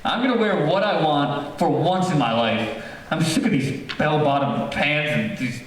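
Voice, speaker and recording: A man's voice: a little high, unhurried, natural voice. A man playing a long-suffering, exasperated father explaining things in a stage re-creation of an old radio comedy. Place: theater stage. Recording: very echoey theater, distant mic.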